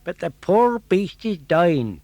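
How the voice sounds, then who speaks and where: Man playing a blunt gardener, raised pitch. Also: sing-song, slow, a little mellow, no echo, exaggerated voice. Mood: matter-of-fact. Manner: matter-of-fact, bargaining. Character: male gardener, blunt, bargaining countryman.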